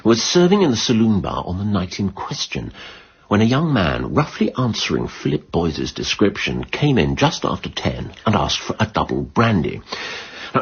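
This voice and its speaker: An actor playing a matter-of-fact, genial British police chief inspector in a radio drama. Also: male voice, on the low side, slightly rough, lo-fi sound, heavily acted.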